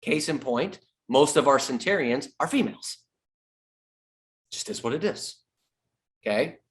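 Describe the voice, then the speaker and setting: Animated man coaching salespeople. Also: medium pitch, unhurried. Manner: emphatic, repeats key points, jokes with the audience. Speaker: real estate sales coach. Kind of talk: sales training talk.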